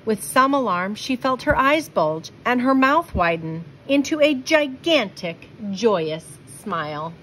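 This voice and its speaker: A woman reading a children's picture book aloud, with expressive, deliberate phrasing. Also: fairly high pitch, slight background noise, low-quality recording. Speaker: storybook reader.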